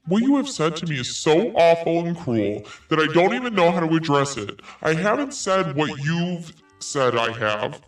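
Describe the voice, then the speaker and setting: A man reading a personal message aloud in an earnest, measured way. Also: fairly high pitch, big pitch swings, faint music behind. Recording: echoey clip from far off.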